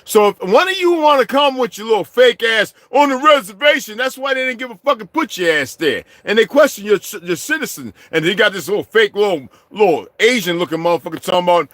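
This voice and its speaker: A middle-aged man delivering a heated, profane vlog rant, swinging from low muttering to shouting. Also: expressive, a little fast, few pauses, no room sound. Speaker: vlogger. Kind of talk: profane ranting monologue.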